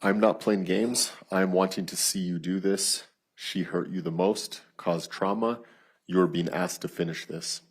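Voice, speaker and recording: A man testifying as a detective in court, reading written messages aloud in a flat, procedural, matter-of-fact way with a husky voice. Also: low voice, measured pace. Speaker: lead detective testifying as witness.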